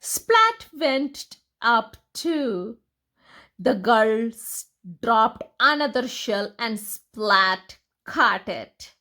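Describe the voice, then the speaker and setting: Slow, high-pitched woman reading to children. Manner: expressive, deliberate, simple phrasing for young children. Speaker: storyteller reading picture books aloud. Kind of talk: children's read-aloud storytelling.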